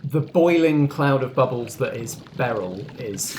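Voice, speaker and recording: A man's voice: medium pitch, animated, measured pace, background noise. A man narrating a tabletop roleplaying game as game master and host.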